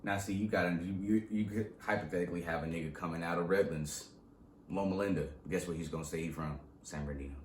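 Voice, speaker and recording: A man's voice: low voice, fast talker, echoey room, a little hiss or hum. A young man from Southern California, a rapper, talking casually and animatedly in an interview, with lots of slang. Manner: slangy, animated storytelling with laughs. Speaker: rapper guest.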